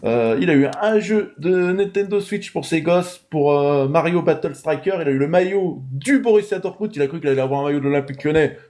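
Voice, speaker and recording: Male live-stream host, high voice, chatty, runs a quiz for callers. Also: brisk, few pauses, a little mellow. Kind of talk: casual live-stream chat.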